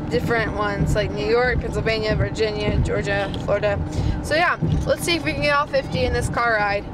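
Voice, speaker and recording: Teen girl vlogger, chatty with fillers, slightly high voice, loud road noise behind. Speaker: family vlogger. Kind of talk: casual travel vlog. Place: inside a car and a canal boat.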